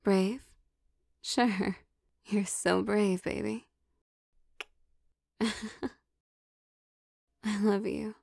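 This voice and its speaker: A young vampire girlfriend, acted by a woman in an intimate audio roleplay. She speaks slowly with a gravelly fry at the edges, teasing and possessive. The sound is dry and close, with soft, coaxing phrasing and hesitant pauses. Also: medium pitch, flat delivery, dry recording. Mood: affectionate, probing.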